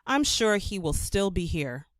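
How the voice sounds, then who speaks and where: A woman voicing a caring, practical mother in a children's storybook, speaking in a gentle, instructive way. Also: mid-range voice, very animated, measured pace, no echo, clean studio sound. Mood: consoling.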